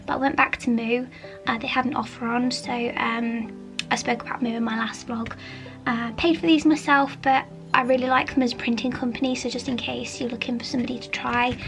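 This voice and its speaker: A woman vlogging casually from her studio, croaky from a chest infection, chatty with plenty of ums and soft asides. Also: a little high. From England. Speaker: vlogger, artist. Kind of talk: casual studio vlog chat. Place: art studio indoors.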